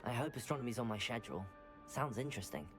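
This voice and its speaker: A man's voice: medium pitch, big pitch swings, fast talker, over music, close to an everyday voice. A man playing a polite, keen teenage new student at a wizard school in a game, curious in manner. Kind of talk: scripted game dialogue.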